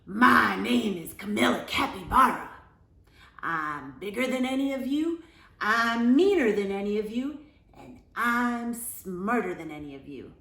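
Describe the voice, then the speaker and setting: Woman acting a loud, boastful bully capybara girl. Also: mid-range voice, animated, unhurried, roomy sound. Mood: boastful, menacing. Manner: loud, brash, emphatic showing off. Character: huge mean boastful know-it-all young female capybara.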